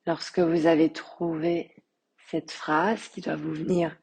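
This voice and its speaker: A woman yoga teacher leads a guided meditation in a soft, husky voice. Her tone is mellow and on the low side. She speaks extremely slowly and calmly, leaving long pauses between phrases. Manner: calm, soothing, measured with long pauses. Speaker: yoga teacher guiding relaxation.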